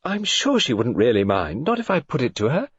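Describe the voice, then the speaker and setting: Man voicing gushing eager fellow, high-pitched. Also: wildly swooping pitch, brisk, highs cut off, a little hiss or hum. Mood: anxious pleading.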